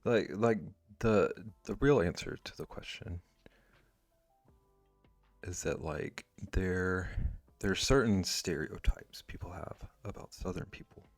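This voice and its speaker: Casual male streamer, deep gravelly voice, dry close sound, faint music behind. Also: animated, slow, dry recording. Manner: rambling, with many "like" fillers and restarts. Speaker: streamer drawing live and chatting with viewers. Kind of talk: casual chat, reading and answering chat.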